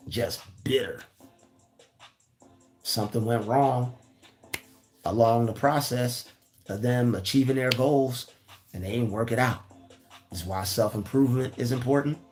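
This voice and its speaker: Raspy-voiced man, earnest pep talk, repeats himself, faint music. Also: medium pitch. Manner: repeats phrases, preachy and earnest. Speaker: motivational vlogger. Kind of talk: casual motivational talk.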